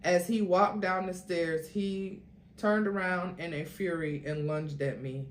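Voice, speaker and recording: A woman's voice: on the low side, fairly even intonation, measured pace. A woman reading a story aloud in a dramatic, theatrical way, as a host would. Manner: theatrical, acts out quoted dialogue. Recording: roomy echo with faint noise.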